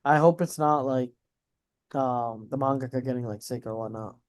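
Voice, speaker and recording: A man's voice: medium pitch, normal speed. A man co-hosting a podcast, reacting to news in a casual, slangy way with mild swearing. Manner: slangy, informal, swears mildly. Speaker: podcast co-host.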